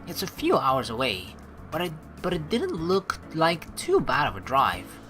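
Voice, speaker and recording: A woman's voice: low-pitched, wildly swooping pitch, unhurried, fairly bright tone. A woman hosting a let's play, chatting informally with rambling asides and reading the game's story text aloud. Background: game music.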